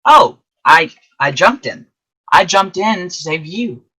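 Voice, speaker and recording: Earnest apprentice angel for radio drama, played by an actor: forceful, unhurried, very lively pitch, emphatic. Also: breathy edge, heavily acted. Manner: animated, emphatic, deliberate. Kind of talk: old-time radio play acting with narration to listeners.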